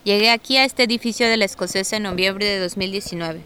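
A woman's voice: medium pitch, fast talker, pushed and bright. A woman giving a conversational, first-hand account, telling her story as an artist resident. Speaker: artist resident recounting a squat and eviction. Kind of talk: personal testimony, conversational storytelling.

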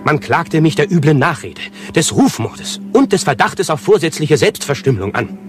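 Pompous, long-winded playwright over music. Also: male voice, a little high, very animated, moderate pace. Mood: aggrieved, explanatory. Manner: pompous, long-winded, declamatory, precise diction. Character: pompous, self-important avant-garde male playwright, verbose and absurd. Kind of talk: satirical radio play dialogue.

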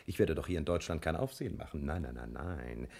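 An actor playing a self-satisfied private detective in a sixties radio drama, drawling with condescending politeness. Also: male voice, rumbling low, lively intonation, quick pace, gravelly, darkish tone, slightly rough, full character voice. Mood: reassuring, boastful. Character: smug, suavely reassuring male private detective. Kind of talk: 1960s German radio drama acting.

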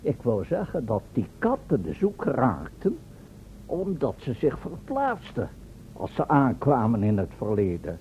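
Pompous professor, very lively pitch swings. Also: male voice, measured pace. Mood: lecturing. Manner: lecturing, formal, stumbles into self-corrections. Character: pompous inventor professor, long-winded, evasive, proud of his machine. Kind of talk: Dutch radio play acting. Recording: tinny band-limited old radio recording, faint noise.

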